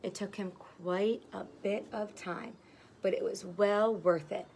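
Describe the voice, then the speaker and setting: A woman's voice: medium pitch, fairly even intonation, slow pace, vocal fry, darkish tone. Female kindergarten teacher, simple explanatory read-aloud for small children, porch setting with light ambient noise. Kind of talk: read-aloud of a picture book for young children. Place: outdoors on a porch.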